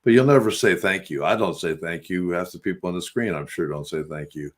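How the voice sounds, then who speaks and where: A man from West Virginia with a very low voice talks earnestly on a podcast. He rambles and preaches about helping people. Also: brisk. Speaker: host. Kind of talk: casual spiritual talk on a radio podcast.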